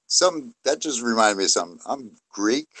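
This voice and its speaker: A man's voice: mid-range voice, animated, moderate pace, a bit bright, dry recording. A man from New City, rambling through a casual live-stream discussion with many fillers. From New York City, USA. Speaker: guest.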